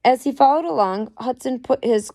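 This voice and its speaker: A woman's voice: high-pitched, normal speed, warm tone. A woman narrating a story aloud from a book, reading it expressively. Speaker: amateur audiobook reader.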